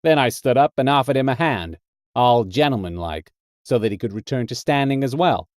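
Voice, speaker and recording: Man putting on a deliberate, hard-boiled American drifter narrator. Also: medium pitch, lively intonation, no room sound, clean studio sound, close to an everyday voice. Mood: brooding, deliberate. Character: scarlet-bearded thickset tough purgatory drifter, male narrator. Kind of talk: dramatic first-person short story narration.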